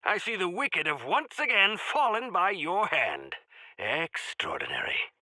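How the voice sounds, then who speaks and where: A man's voice: high voice, huge pitch swings, measured pace, pushed and bright, dry recording, exaggerated voice. An actor voicing a genial, pompous male quest-giver in a video game, speaking in grandiloquent, courtly phrases with wry asides. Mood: grand, appreciative. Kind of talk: theatrical video game character acting.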